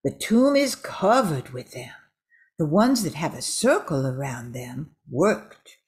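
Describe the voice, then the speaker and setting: Low, unhurried older woman narrator. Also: big pitch swings, a little mellow. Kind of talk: scripted radio drama narration.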